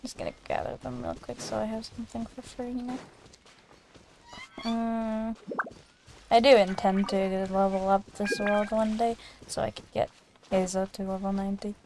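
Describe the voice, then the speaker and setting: A woman's voice: mid-range voice, fairly even intonation, moderate pace, noisy background. A woman chatting casually and in a giggly, rambling way while streaming a video game. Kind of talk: casual gameplay chat.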